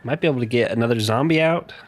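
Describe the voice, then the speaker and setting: A man's voice: mid-range voice, background noise. A congested man giving easygoing, chatty commentary while playing a video game. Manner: easygoing, chatty, quick laughs. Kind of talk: casual let's-play commentary.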